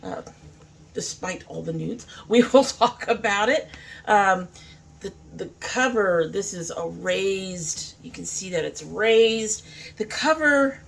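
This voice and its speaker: A woman's voice: medium pitch, vocal fry, breathy edge. A woman talking informally to camera as a beauty vlogger, thinking aloud in a casual makeup review.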